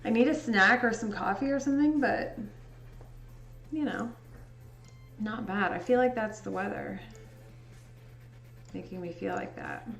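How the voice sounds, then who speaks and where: A woman's voice: fairly high pitch, gravelly. A young woman from Indiana with a Midwestern accent, chatting casually with viewers during a livestream while she edits photos. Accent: Midwestern American. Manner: slow, relaxed, chatty with frequent laughs. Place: indoor home room. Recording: echoey room with faint music.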